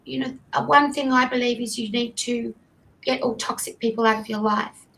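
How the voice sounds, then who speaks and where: Woman host, higher gravelly voice, chatty and apologetic, hoarse, faint hiss behind. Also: steady tone, normal speed, a bit bright, poor audio. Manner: chatty, apologetic, trips over her words. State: losing her voice. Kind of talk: casual live-stream chat.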